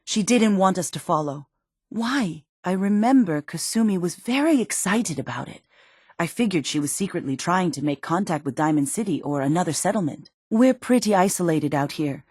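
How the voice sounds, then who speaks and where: An actress playing a worried, protective middle-aged mother in a post-apocalyptic video game, speaking earnestly and emotionally, with halting phrases. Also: fairly low pitch, lively intonation, darkish tone, breathy edge, dry recording, clean studio sound. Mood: worried, reflective. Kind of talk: dramatic video game voice acting.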